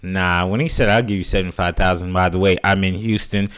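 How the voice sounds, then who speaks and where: A man's voice: low-pitched, steady tone, fast talker, fairly bright tone, clean tone. A man co-hosting a casual podcast, recounting a story in a rambling way and acting out other people's quotes. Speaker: podcast co-host. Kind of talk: casual chat.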